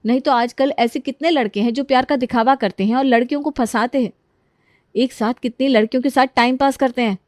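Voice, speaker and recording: Woman acting an earnest, worried daughter-in-law, lowered voice. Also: brisk, no echo, studio quality, close to an everyday voice. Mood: earnest, defensive. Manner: polite, reasoning, defensive. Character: earnest, worried young daughter-in-law, respectful but misunderstood.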